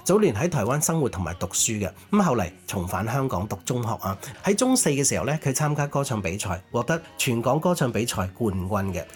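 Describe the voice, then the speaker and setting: A man chattily co-hosting a music nostalgia show, sharing conversational commentary. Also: medium pitch. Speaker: co-host of a Cantopop nostalgia show.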